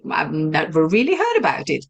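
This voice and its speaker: A woman with a southern Swedish accent, speaking English as a guest in a casual interview, friendly and quick to laugh. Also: low voice, huge pitch swings, vocal fry. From Sweden. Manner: friendly, laughs easily, fillers. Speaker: guest, virtual assistant.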